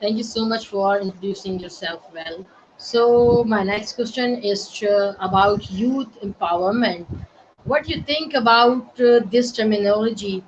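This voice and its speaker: Slow, polite female interview host. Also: mid-range voice, muffled recording, a little hiss or hum. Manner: polite, repeats thanks, halting questions. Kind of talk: online interview.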